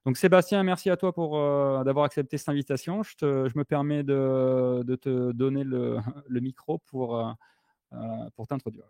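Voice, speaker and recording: A man hosting a live online talk, introducing a guest with many fillers and pauses. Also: mid-range voice, steady tone, a little mellow, no room sound, studio quality. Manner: halting, lots of fillers. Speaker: host.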